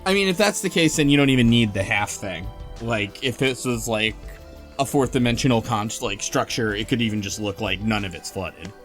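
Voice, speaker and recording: A man's voice: lowish voice, animated, moderate pace, fairly bright tone. A man in a casual discussion of a game, tentative and rambling as he thinks aloud. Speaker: podcast panelist. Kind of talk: casual chat about a visual novel. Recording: faint music behind the voice. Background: faint music.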